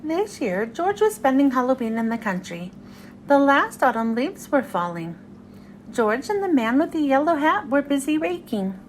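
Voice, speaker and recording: A woman's voice: sits high, sing-song, moderate pace, slight background noise. A woman hosting a read-aloud and reading a children's storybook to young listeners.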